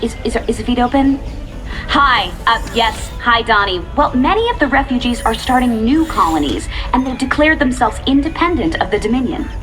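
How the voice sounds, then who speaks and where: An actress playing an earnest, easily flustered field reporter in a satirical video game newscast. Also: female voice, fairly high pitch, lively intonation, moderate pace, fairly bright tone, soft background music.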